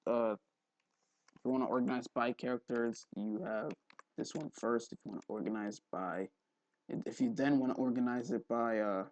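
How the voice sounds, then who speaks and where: A young man giving a casual software tutorial, thinking aloud as he rambles along. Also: medium pitch, moderate pace, no room sound. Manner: rambling, thinking aloud, frequent throat clearing. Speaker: tutorial host. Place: indoors at a computer, voice-over for screen recording.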